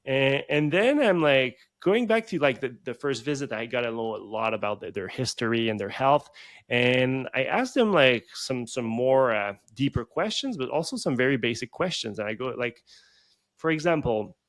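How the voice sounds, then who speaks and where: Man in his thirties, podcast guest, rambling, filler-heavy, mid-pitched voice. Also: animated, normal speed. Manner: rambling, many fillers and restarts. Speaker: chiropractor guest. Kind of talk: conversational podcast interview.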